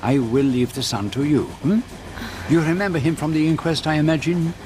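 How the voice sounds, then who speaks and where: An actor playing a fussy, shrewd detective in a radio drama, with a French-speaking European accent and precise, formal phrasing. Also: male voice, on the high side, normal speed, background noise, natural voice. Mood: courteous, scheming. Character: fussy, shrewd, courteous foreign male detective. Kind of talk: radio drama acting and first-person narration.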